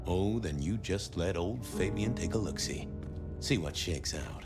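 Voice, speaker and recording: Game casting: smooth-talking, world-weary private eye, low pitch, drawling and wry, loud music underneath. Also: male voice, expressive, a little slow. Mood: soothing, curious. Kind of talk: noir video game voice acting.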